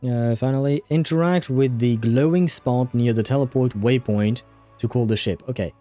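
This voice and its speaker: A man with a dark, relaxed, mid-pitched voice commentates casually on his own gameplay. He mutters his thoughts aloud and swears freely, with soft game music playing behind him. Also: normal speed. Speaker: livestream host.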